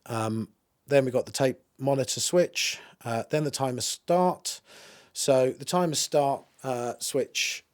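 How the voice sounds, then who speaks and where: A man's voice: medium pitch, slow pace. A man giving an informal, matter-of-fact spoken demonstration of audio equipment, explaining as he goes. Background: music played through a tape deck.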